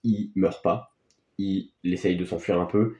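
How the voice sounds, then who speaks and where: A man's voice: low-pitched, steady tone, a little slow, mellow, dull audio. A man gives casual, unscripted film commentary as a video host, in a hesitant, rambling, offhand way. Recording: echoey, roomy sound.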